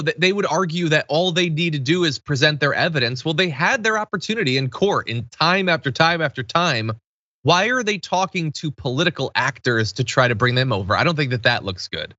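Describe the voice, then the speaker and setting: A man with a bright, forceful voice hosts political talk. His delivery is informal and sardonic, like conversational commentary. The sound is dry and close, though slightly dull. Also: on the high side, no room sound. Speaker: talk show host.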